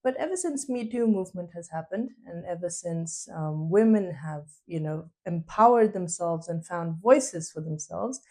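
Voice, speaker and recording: A woman's voice: on the low side, animated, normal speed, few pauses, a little mellow. French-native woman, chatty interview guest. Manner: laughs often, frequent fillers. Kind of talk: casual interview chat. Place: studio.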